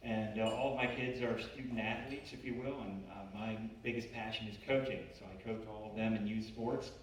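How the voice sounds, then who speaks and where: A man gives a friendly self-introduction that is hesitant and full of fillers. His pitch is lowish. The mic is far off in a very echoey room, and a light noise floor runs underneath. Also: steady tone, moderate pace. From Baltimore, USA. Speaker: finance presenter.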